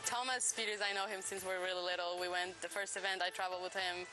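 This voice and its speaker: A woman's voice: fairly low pitch, flat delivery, fast talker, poor audio. A young woman from Belgium, a professional golfer, chatting upbeat in a profile interview. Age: young adult. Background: music.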